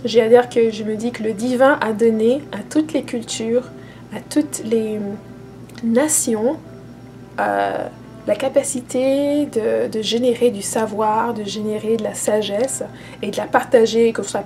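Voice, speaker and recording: Earnest woman, high voice, soft music. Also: lively intonation, measured pace. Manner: earnest, reassuring, repeats and restarts words often. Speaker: spiritual vlogger. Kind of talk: casual spoken monologue to camera.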